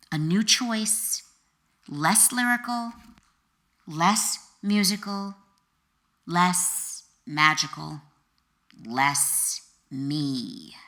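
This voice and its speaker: A woman author reading her own memoir as an expressive audiobook narrator, with a dramatic, deliberate delivery and emphatic pauses. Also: on the low side.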